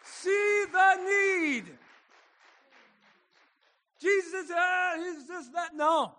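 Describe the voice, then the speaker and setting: A male pastor preaching to his congregation with a high, ringing voice. His delivery is emphatic, and he keeps prompting the crowd to respond. The sound is close and dry, with almost no room echo. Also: very slow, sharp tone. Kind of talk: sermon. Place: church service before a congregation.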